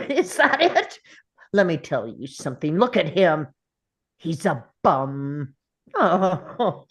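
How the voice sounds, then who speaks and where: Female reader as a menacing, sarcastic male principal, contemptuous table-read delivery, unhurried and widely swooping. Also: on the low side, full character voice. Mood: mocking, scornful. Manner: taunting, contemptuous, drawn-out goading. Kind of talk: table read of a film script.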